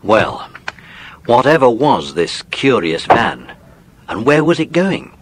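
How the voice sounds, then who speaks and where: A man's voice: medium pitch, very animated, slow pace. A man narrating a children's story from a script, as in an audiobook drama.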